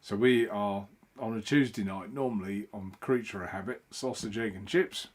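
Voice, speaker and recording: A man's voice: on the low side, normal speed. A man hosting a casual live stream from home, chatting in a rambling way and greeting viewers by name.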